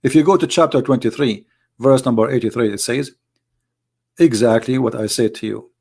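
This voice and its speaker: A man's voice: mid-range voice, normal speed, vocal fry, darkish tone, slightly rough. A man hosting a religious video, delivering an argumentative monologue in non-native English phrasing. He speaks emphatically, repeating points and asking rhetorical questions.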